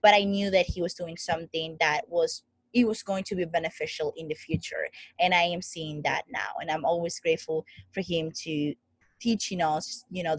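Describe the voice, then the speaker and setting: A woman's voice: fairly low pitch, no echo, low-quality recording. A young woman from the Dominican Republic, an interview guest telling her life story in an earnest, grateful way. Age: young adult. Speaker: guest, student founder.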